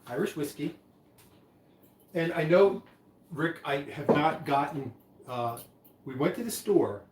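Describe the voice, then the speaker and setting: A man's voice: mid-range voice, recorded from a distance, a little hiss or hum. Chatty man in an echoey room. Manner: rambling, chatty, many restarts. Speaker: livestream host mixing drinks. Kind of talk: casual live chat.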